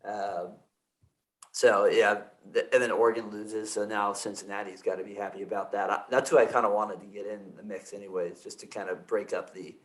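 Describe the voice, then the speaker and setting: A man hosts a sports podcast in a loose, rambling way, full of fillers and self-corrections. His voice sits low. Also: steady tone, brisk, low-quality recording. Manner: rambling, many fillers and restarts. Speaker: podcast host. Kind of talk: casual sports podcast chat.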